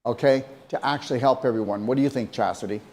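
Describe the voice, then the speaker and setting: A man's voice: medium pitch, fast, a little mellow. Emphatic rambling male co-host. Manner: emphatic, rambling, repeats himself. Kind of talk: casual podcast talk.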